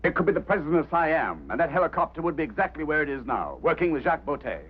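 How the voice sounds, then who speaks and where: A man's voice: fairly high pitch, very animated, fast talker. An actor playing a stern, embittered man in charge of a search, firm and authoritative, in a mid-century film drama. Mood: insistent. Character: stern, embittered male boss of a search operation. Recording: muffled, band-limited old film soundtrack, faint hum.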